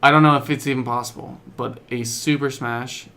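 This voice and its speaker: Male podcast co-host, medium pitch with noticeable fry, relaxed everyday conversational style. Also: fairly quick. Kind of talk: casual chat about games and films.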